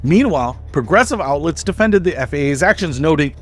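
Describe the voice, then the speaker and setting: Male video essay narrator, slightly high voice, measured and emphatic scripted delivery, faint music underneath. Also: expressive. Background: background music.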